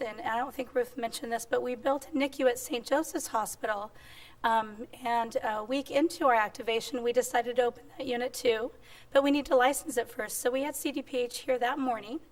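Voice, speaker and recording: A woman in her forties giving a conference presentation at a podium, her voice strained and squeaky, upbeat with frequent ums. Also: fairly even intonation, moderate pace. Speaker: hospital executive presenter. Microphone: podium microphone.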